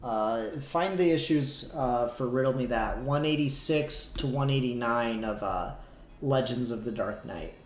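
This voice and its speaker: A man chatting casually and excitably as a podcast co-host, swearing freely and informally. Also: medium pitch, unhurried. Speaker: podcast co-host reviewing comics. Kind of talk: casual chat. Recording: roomy, echoey voice recording.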